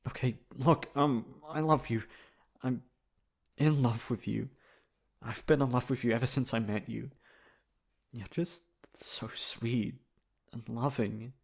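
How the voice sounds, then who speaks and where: A man's voice: medium pitch, fairly even intonation, vocal fry, husky, exaggerated voice. A man acting in an ASMR roleplay as a flustered, dominant young best friend, hesitant at times and blunt at others. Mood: earnest, flustered. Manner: hesitant with pauses, turning bossy and blunt. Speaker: ASMR voice actor.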